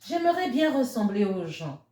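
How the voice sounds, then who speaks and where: A woman voicing a small, wistful picture-book chameleon in a wishful, drawn-out way. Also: fairly high pitch, sing-song, dark tone. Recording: roomy, echoey sound.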